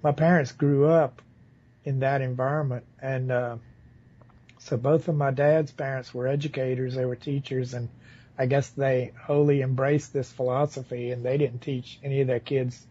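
An American man from southern Louisiana, speaking as an interviewee in a casual, halting, rambling and informal way. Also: mid-range voice, level tone, dark tone, slightly rough. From southern Louisiana, United States. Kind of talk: casual spoken recollection. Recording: slightly dull, lo-fi interview recording.

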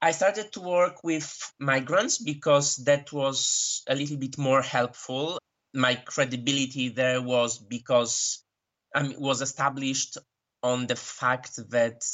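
A Polish man with a Polish accent answers interview questions at an unhurried pace. He thinks aloud, pauses often, and corrects himself mid-sentence. The recording is muffled and lo-fi. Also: medium pitch, fairly bright tone. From Poland. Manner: thoughtful, frequent pauses and self-corrections. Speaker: interview guest who works with migrants. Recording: dull lo-fi recording.

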